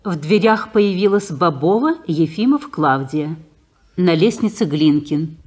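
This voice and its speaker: A woman's voice: fairly low pitch, expressive, measured pace, darkish tone. Woman reading stage directions. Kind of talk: scripted radio narration.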